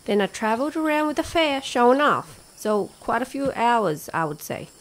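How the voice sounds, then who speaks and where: An actress playing a confident rancher and former sharpshooter in a radio drama. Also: female voice, a little high, very animated, normal speed, low-quality recording. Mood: breezy. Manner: breezy, confident.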